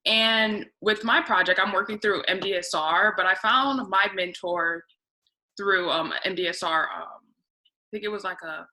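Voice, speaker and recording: A woman's voice: medium pitch, fairly even intonation, measured pace, pushed and bright, low-quality recording. A young woman answering informally as a student panelist. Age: young adult.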